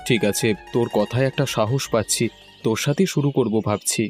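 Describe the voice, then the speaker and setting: Cautious village man acted by a man, medium pitch. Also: fairly quick, a little mellow, faint music behind, close to an everyday voice. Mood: agreeable. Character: cautious, cost-worried village fish farmer man. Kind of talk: cartoon dialogue.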